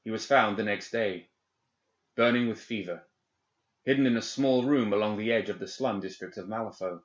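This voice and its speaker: A man's voice: lowish voice, moderate pace. A man reading a story aloud as scripted audiobook narration. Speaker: audiobook reader. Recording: slightly dull, band-limited recording.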